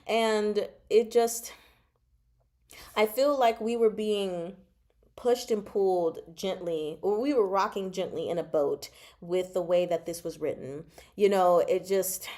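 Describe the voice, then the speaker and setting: Slow-paced, expressive woman chatting about books. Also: mid-range voice. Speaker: book discussion vlog host. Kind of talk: casual live book chat.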